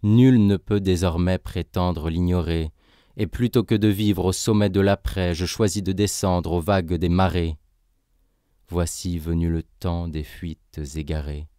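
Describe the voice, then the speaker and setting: A man with a very deep voice gives a solemn poetry recitation. He takes his time, with slow, measured and declamatory phrasing. The recording is very dry and close, with no room around the voice. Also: steady tone, dark tone, smooth, dry recording. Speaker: poetry reader.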